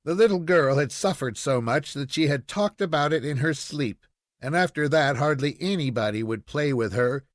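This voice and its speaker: A man reading a children's story aloud in an expressive, measured storytelling manner. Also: a little high, dry recording, studio quality. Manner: measured storytelling with acted dialogue. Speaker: audiobook reader.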